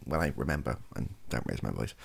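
Very deep, gravelly, soft-spoken man. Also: animated, a little fast. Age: young adult. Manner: rambling, self-deprecating, hesitant. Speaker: ASMR video creator. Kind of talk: softly spoken ASMR chat. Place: small bedroom at a desk. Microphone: close microphone.

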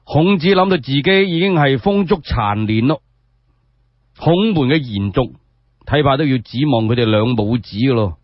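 A man telling a scripted story in Cantonese radio style, expressive and measured, with rhetorical asides, on a dull recording. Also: medium pitch, no echo. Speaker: solo storyteller.

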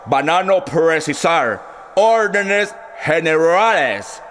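Bullying gringo boss, swooping pitch. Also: male voice, lively intonation, extremely slow, fairly bright tone, a little hiss or hum, exaggerated voice. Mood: angry, domineering. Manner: barking broken-Spanish orders. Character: bullying American banana company boss, gruff and impatient.

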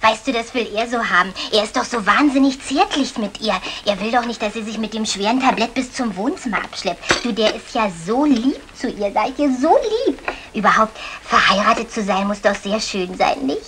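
An actress playing a lovestruck, chatty young housemaid, eager and coaxing, in a vintage German radio comedy. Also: female voice, fairly high pitch, fairly quick, hardly any pauses, a bit bright, natural voice. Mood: wistful, chatty. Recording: faintly noisy 1960 radio recording.

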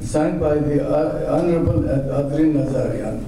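An older man as emcee giving formal banquet remarks at a podium, reading with small stumbles, recorded from across a large hall. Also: a little high. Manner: measured, reads from a page with small stumbles. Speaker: event emcee. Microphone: podium microphone heard from a far camera. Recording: echoey hall, far-off mic, room noise.

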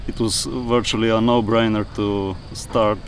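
Lowish-voiced Slovenian man in his thirties. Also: fairly even intonation, unhurried, recorded from a distance, a little hiss or hum. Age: 30s. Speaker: festival attendee interviewee. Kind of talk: interview testimony. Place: outdoors on a grassy lawn.

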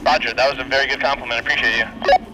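Male, twenties, American, extremely quick casual banter, heavy radio noise on walkie-talkie. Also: mid-range voice, level tone. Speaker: prankster playing the boss over walkie-talkie.

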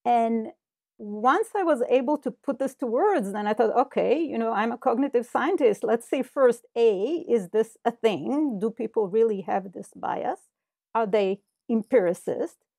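A middle-aged woman, a Hebrew speaker, explains her ideas thoughtfully, with many fillers. Her voice sits a little high and has a gravelly fry. The sound is dry, picked up by earbuds on a video call. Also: animated, unhurried, warm tone, dry recording. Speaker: cognitive scientist guest. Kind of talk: interview conversation. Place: home office with bookshelves. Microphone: earbud headset over video call.

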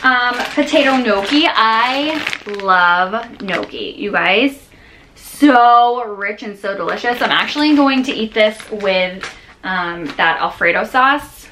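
A woman in her thirties talks to a camera propped on her kitchen counter, loose and rambling, doubling back on herself with plenty of fillers. Her voice sits a little high and she sounds worn out. A faint hiss runs under the recording. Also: animated, slow pace, sharp tone. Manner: chatty, rambling with many restarts and fillers. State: tired. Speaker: vlogger. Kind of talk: casual grocery haul chat. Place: home kitchen, indoors. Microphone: camera on a counter at close range.

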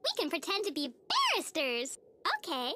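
Squeaky cartoon schoolgirl, extremely high pitch, swooping intonation, gushing, sweet, naive. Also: expressive, normal speed, very noisy. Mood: eager, cheerful. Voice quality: childlike, squeaky. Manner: gushing, singsong, oblivious. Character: relentlessly cheerful, naive, sweet little schoolgirl. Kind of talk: animated TV cartoon acting. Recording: music playing behind the voice.

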